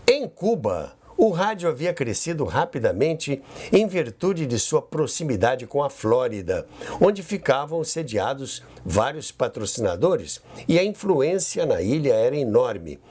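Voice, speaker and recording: A man's voice: medium pitch, big pitch swings, a little slow, a little mellow, slightly rough. A Brazilian man hosting a history podcast, telling a scripted story in a theatrical way with conversational asides. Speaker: host and narrator of a radio history podcast.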